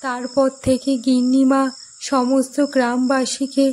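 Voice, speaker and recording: A woman voicing a kindly grandmother who tells bedtime ghost stories in a cartoon, gentle in manner. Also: high voice, completely flat delivery, unhurried, slight background noise. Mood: gentle, narrating. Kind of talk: storytelling in a cartoon.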